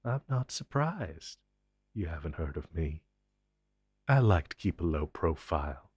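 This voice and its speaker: An ancient telepathic entity voiced by a man addresses the listener in an intense audio drama. The voice is deep and husky, captured close and dry. It speaks with theatrical deliberation, possessive and condescending, a menacing protector of humankind. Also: sing-song, a little slow, darkish tone, dry recording, full character voice. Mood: smug menace.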